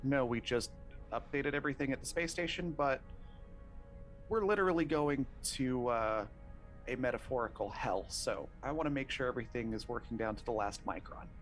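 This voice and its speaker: A man's voice: mid-range voice, normal speed, faint music behind. A man playing a tabletop roleplaying game, moving between casual narration and in-character talk in a hesitant, thinking-aloud way.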